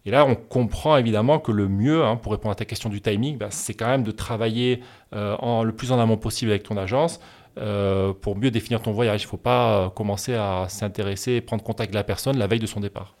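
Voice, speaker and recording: A man working as a wealth management advisor, answering interview questions in a measured, explanatory way with frequent hesitations. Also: on the low side, fairly even intonation, darkish tone.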